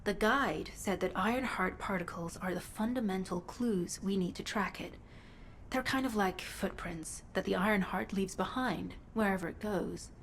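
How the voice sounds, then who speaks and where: A woman's voice: mid-range voice, moderate pace, a little hiss or hum, lightly acted. A woman playing a dutiful, weary but determined recon soldier in a video game, speaking sincerely. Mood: businesslike, focused. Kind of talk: scripted video game dialogue.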